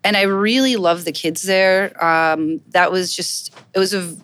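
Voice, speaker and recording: A woman's voice: lowish voice, fairly even intonation, measured pace, a bit bright. A woman from Poland, a guest artist talking casually in an interview, with many ums and likes. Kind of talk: conversational interview.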